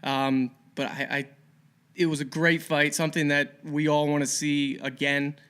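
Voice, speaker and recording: A man co-hosting a sports podcast, giving casual fight analysis in an earnest, measured way. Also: on the high side, level tone, fairly bright tone, clear, resonant tone. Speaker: boxing podcast co-host.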